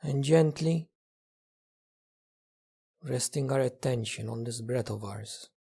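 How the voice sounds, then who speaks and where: A man leading a guided meditation as a teacher, speaking gently in short phrases with long pauses. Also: mid-range voice, vocal fry, breathy edge. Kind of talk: guided meditation, slow spoken instruction.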